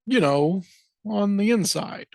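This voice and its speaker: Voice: mid-range voice, animated, takes it slowly, vocal fry, darkish tone, no echo, clean studio sound, voice pushed up. A storyteller voicing a fretful, dim, self-important male turkey in a picture book, with exclaiming, complaining, theatrical delivery. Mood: exasperated, know-it-all.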